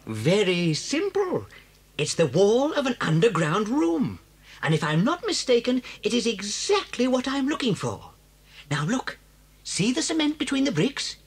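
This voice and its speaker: A man's voice: very high-pitched, huge pitch swings, slightly rough, heavily acted. A man with a British accent playing a clever, cocky father fox in a children's audio drama, theatrical and persuasive. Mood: confident. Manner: theatrical, sly, drawn-out phrasing.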